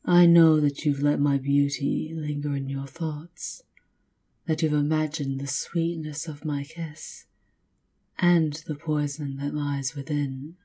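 A woman playing a seductive, menacing vampire countess in an ASMR roleplay, taunting in a breathy, theatrical purr that often drops toward a whisper. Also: low-pitched, little pitch movement, slow, warm tone, heavily acted. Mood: seductive, hypnotic.

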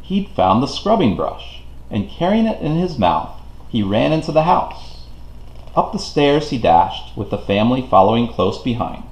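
Animated man reading a storybook, roomy sound. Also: medium pitch, normal speed. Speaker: children's book reader. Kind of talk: expressive read-aloud storybook narration. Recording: roomy, echoing home recording.

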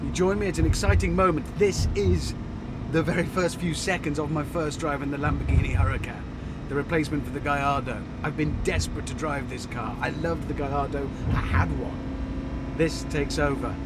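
A man's voice: fairly high pitch, steady tone, a little fast, heavy background noise. An English man in his fifties, a car show presenter with a dry, sardonic delivery. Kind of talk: wry voice-over and in-car commentary. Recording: loud theme music under voice.

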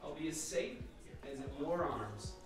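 A man's voice: on the high side, expressive, unhurried, very echoey. Guy playing a harried scientist husband in a radio play, sounds distant, music behind. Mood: wry. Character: harried male scientist, evasive, wry husband. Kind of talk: radio drama acting.

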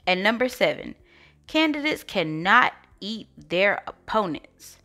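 A woman reading a children's storybook aloud as storyteller, in an expressive, deliberate way. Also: mid-range voice. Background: faint music.

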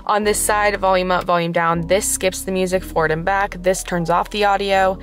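A woman's voice: medium pitch, fairly even intonation, normal speed, soft background music. Chatty young woman vlogging. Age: young adult. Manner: chatty, bubbly, giggly. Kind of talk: casual car tour vlog. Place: inside a parked car. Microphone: handheld phone camera.

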